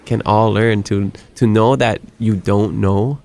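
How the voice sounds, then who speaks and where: Gravelly low-voiced man hosting. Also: fairly even intonation, takes it slowly, a little mellow. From Hawaii. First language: Hawaiian. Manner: earnest, frequent self-restarts and stammered repeats. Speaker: podcast host. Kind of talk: casual conversational interview.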